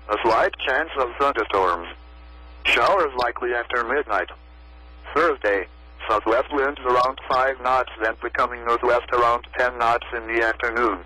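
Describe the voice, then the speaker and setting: A man's voice: mid-range voice, pushed and bright, breathy edge, low-quality recording. A synthetic American male computer voice reading a scripted marine forecast in a stilted way on weather radio. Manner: stilted synthetic text-to-speech delivery. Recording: weather radio broadcast audio.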